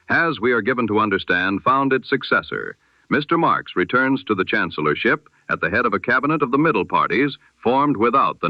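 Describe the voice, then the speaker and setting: A man presenting a scripted news bulletin in 1920s radio style, with a formal, measured, solemn delivery. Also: lowish voice, dry recording, highs cut off.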